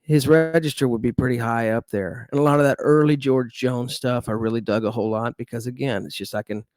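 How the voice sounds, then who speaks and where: A man's voice: mid-range voice, steady tone, a little fast, a little mellow, no echo, studio quality. A middle-aged man from Texas with a Texan accent, a guest musician chatting easily in an interview, rambling with fillers and restarts. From Dallas, Texas. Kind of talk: casual interview chat.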